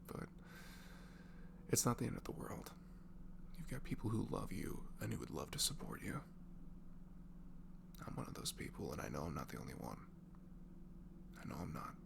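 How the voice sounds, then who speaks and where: A man speaking softly and breathily in an improvised, comforting ASMR voice message, rambling affectionately and informally as if to a close friend. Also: low voice, sing-song, moderate pace, vocal fry, pauses often, noisy background. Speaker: amateur ASMR audio creator playing a supportive friend.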